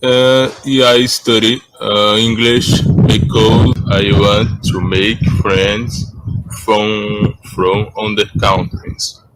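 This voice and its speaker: A man's voice: lowish voice, flat delivery, drawn-out words, a bit bright, slight background noise, rough recording. A Brazilian man in his twenties with a Brazilian Portuguese accent, introducing himself in simple, hesitant English. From Bahia, Brazil. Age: 20s. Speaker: language student.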